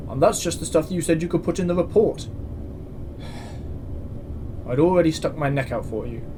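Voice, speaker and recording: A man's voice: a little high, normal speed, natural voice. Stern, exasperated commandant acted by a man, noisy background. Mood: exasperated, accusing. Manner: curt, authoritative. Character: stern, exasperated male space station commandant. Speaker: military commandant. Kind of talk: science-fiction audio drama acting. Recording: clear background ambience noise.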